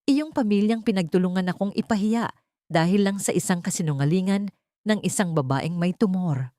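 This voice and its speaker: A woman voicing a cold, vengeful company director with a cutting, deliberate delivery. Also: medium pitch, warm tone, dry recording, studio quality, lightly acted. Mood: cold anger.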